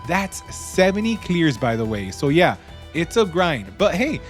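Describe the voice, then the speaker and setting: Male gaming host, slightly high voice, casual and slangy, dry wit, faint music bed underneath. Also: very animated, measured pace. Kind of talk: casual game review voice-over, part scripted, part off the cuff.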